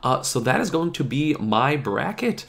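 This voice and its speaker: A man's voice: mid-range voice, big pitch swings, moderate pace, vocal fry, fairly bright tone. A young man talking casually and rambling to camera, with lots of fillers, sniffling and a bit sick. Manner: rambling, filler-heavy, chatty. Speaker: gaming YouTuber. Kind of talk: casual opinion vlog.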